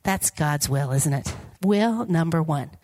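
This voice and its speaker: A woman preaches to a congregation in a voice that is a little raspy and hoarse and sits on the low side. She jokes and keeps inviting the room to answer back. The sound is dry and close, with no echo. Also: animated, a little slow, a little mellow. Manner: upbeat, jokey, asks the congregation for responses. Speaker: guest preacher. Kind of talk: informal sermon with prayer. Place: church platform before a congregation.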